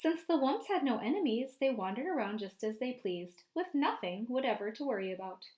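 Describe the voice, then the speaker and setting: A woman teacher reading a picture book aloud to young children, expressive and often prompting her listeners with questions. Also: on the high side, fairly quick.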